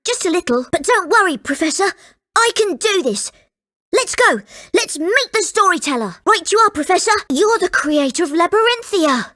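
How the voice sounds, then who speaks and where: Young boy, gentleman's apprentice in a video game: very high voice, lively swings in pitch, earnest and well-mannered. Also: exaggerated voice. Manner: earnest, polite, excitable.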